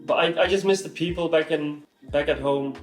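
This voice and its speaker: A man's voice: on the high side, brisk, roomy sound, soft background music. A young man with a Dutch accent, chatting casually and thoughtfully as a vlogger. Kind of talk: casual chat.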